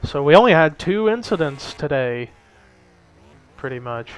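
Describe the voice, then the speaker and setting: A man's voice: a little high, animated, a little slow, clean tone, rough recording. Upbeat young male race caller. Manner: chatty, lifts energy at race calls. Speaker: race commentator. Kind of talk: casual play-by-play of a simulated race. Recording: faint background noise.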